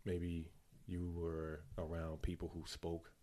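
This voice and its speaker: Laid-back male podcast host, very low and mellow voice, blunt and joking. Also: completely flat delivery, takes it slowly. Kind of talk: casual chat.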